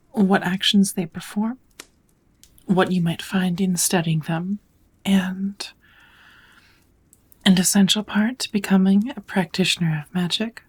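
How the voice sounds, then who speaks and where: A woman plays a kind witch mentoring an apprentice in an ASMR roleplay. Her voice is fairly low and very breathy, often dropping into a whisper. She teaches with gentle, measured patience and steady encouragement. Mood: instructive, encouraging. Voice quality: soft, breathy. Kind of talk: ASMR roleplay, second-person scripted teaching.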